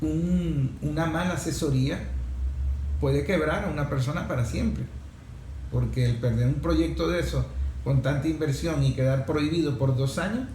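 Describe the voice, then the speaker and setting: A man's voice: fairly high pitch, normal speed, dark tone. Venezuelan man interviewing, echoey room. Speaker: interviewer, host. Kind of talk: conversational interview. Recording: echoey room, faint background noise.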